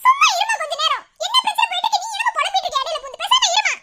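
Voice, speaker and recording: An impatient grown daughter, played by a woman, with a piercingly high voice. She is sharp-tongued and indignant, snapping in the manner of a family melodrama. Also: expressive, very fast, heavily acted. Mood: irritated. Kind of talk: melodramatic family drama acting.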